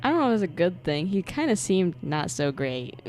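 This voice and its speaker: A woman's voice: fairly low pitch, sing-song, normal speed, darkish tone. A woman giving casual, playful, reactive commentary as a game livestreamer, often giggly.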